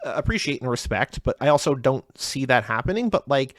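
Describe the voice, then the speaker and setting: Fast-talking man rambling on a podcast. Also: mid-range voice, very animated, a bit bright, dry recording. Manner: fast, rambling, many "like" fillers. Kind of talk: casual podcast chat.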